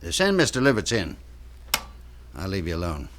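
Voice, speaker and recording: An actor in a radio drama playing a reserved, skeptical defense attorney with a measured, formal manner. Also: male voice, low-pitched, wildly swooping pitch, noisy background, full character voice. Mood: businesslike.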